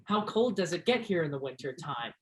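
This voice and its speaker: A man's voice: on the high side, sing-song, brisk, a bit bright. A man playing a reluctant, dreamy teenage boy in a stage play, earnest and grumbling.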